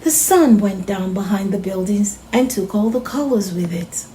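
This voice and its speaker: A woman reading a children's storybook aloud as host and narrator, expressive and addressing children as friends. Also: medium pitch, moderate pace, slight background noise, low-quality recording.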